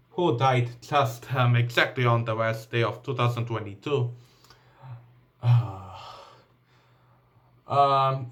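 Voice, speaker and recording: Slow, hesitant Bulgarian-accented man. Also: medium pitch. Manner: hesitant, many ums and uhs, restarts while reading. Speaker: vlogger. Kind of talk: reading events aloud from a laptop.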